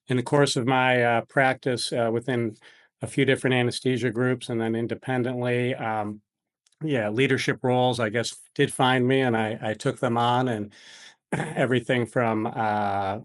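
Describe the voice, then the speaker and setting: A man's voice: medium pitch, steady tone, normal speed. A middle-aged American man, a physician from Buffalo, answering interview questions conversationally in hesitant, meandering phrases. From Buffalo, New York, United States.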